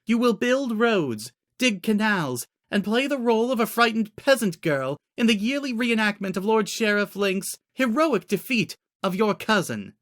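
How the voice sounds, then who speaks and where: A man's voice: sits high, lively intonation, moderate pace, few pauses, a bit bright, no room sound, clean studio sound, voice pushed up. A man voicing a stern, indignant queen who speaks formally and imperiously in a fantasy audiobook reading. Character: regal, stern young queen, indignant, authoritative.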